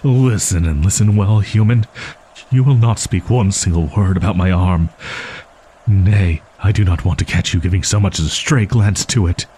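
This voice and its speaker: A man's voice: sits low, normal speed, mellow, a little hiss or hum, close to an everyday voice, rough recording. A man voicing a proud, easily flustered young wolf-boy in a roleplay audio drama, with stilted archaic diction and defensive stammering. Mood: stern, threatening. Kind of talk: roleplay audio drama monologue addressed to listener.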